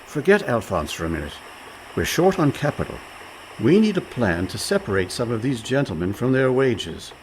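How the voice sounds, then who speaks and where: Man playing a glib, animated con man. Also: mid-range voice, normal speed, a little hiss or hum, natural voice, low-quality recording. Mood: glib scheming. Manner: glib, mock-genteel. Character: smooth-talking, scheming con man, male, breezy and overconfident. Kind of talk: comic radio play acting.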